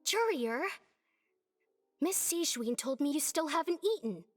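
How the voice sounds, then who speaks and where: A woman's voice: sits high, sing-song, unhurried, over music. An actress playing a fussy, easily flustered young woman assistant in a video game. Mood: scolding.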